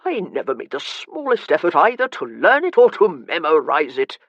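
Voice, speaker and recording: A frail, irritable old gentleman of wealth, gravely ill and short of breath, as a male narrator puts him on. He speaks slowly and haughtily through a rasping wheeze, in a fairly high voice. The storybook recording is dry and a little dull. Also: wildly swooping pitch, dry recording, full character voice. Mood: disdainful. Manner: haughty, disdainful, slow and weary.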